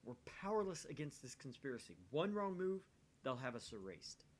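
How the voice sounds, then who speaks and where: A man's voice: medium pitch, very animated, normal speed, pitched above the performer's own voice. A man voicing an intense, theatrical young self-styled mad scientist from a visual novel, with grandiose, urgent delivery. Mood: urgent, grave.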